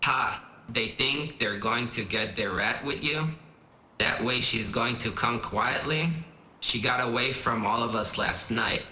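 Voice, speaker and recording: A man acts out a sneering street thug in a noir audio drama. His mid-pitched voice is very forceful and sharp, mocking and aggressive, in a roomy echoing space. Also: measured pace. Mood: scornful. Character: hot-headed, foul-mouthed, sneering thug.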